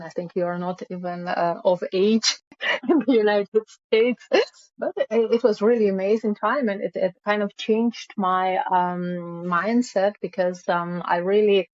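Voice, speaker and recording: A woman, speaking as an interview guest in a halting way with many fillers. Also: medium pitch, darkish tone, no echo. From Russia. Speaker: guest, music founder. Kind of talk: casual interview. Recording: dull lo-fi call audio.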